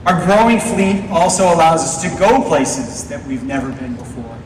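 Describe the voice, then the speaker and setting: A man's voice: a little high, moderate pace, distant mic. A man giving an upbeat, projected keynote presentation on stage as a company executive, addressing a live audience. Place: large darkened convention auditorium, on stage. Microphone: stage PA heard from far back in audience. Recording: audience phone recording, echoey hall, crowd noise.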